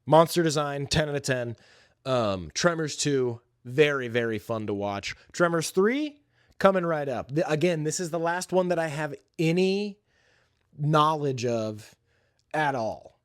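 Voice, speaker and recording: A man's voice: a little high, lively intonation, measured pace, clear, resonant tone, no room sound, clean studio sound. A man hosting a solo podcast, chatting casually and informally about films and games in slangy, hesitant phrases. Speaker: podcast host.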